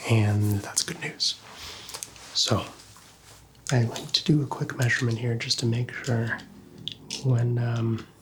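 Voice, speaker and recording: A man softly whispering as a calm doctor in an ASMR roleplay, talking gently and reassuringly to the listener as a patient. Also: medium pitch, noisy background. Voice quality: hushed, whispery, breathy.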